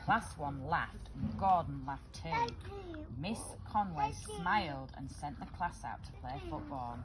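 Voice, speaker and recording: A woman reading a picture book aloud to young children in an expressive, animated way, acting out the dialogue. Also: low voice, slow, over a noisy crowd, poor audio.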